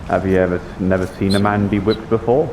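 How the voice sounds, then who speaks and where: A man playing a calm, counseling abbey clergyman in a fantasy roleplay, measured and formal, over online voice chat. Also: low voice, close to an everyday voice. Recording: dull, noisy online voice chat.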